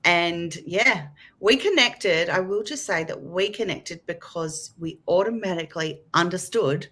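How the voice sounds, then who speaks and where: Aussie woman on a panel, bright sharp voice, kinda flustered and chatty. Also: fairly low pitch, unhurried, husky. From Australia. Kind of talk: casual panel discussion.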